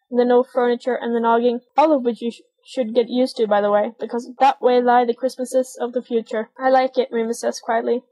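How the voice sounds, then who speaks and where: Higher-voiced woman reading fiction aloud, fairly even. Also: rough recording. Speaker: audiobook reader. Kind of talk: expressive story narration with dialogue.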